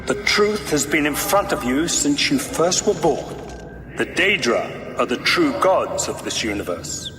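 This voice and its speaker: An actor playing a grandiose, zealous cult leader preaching dark prophecy in a pompous, measured, oratorical way for a fantasy game. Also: male voice, fairly high pitch, lively intonation. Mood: preaching, scornful. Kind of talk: theatrical fantasy game monologue. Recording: echoey hall reverb, music behind.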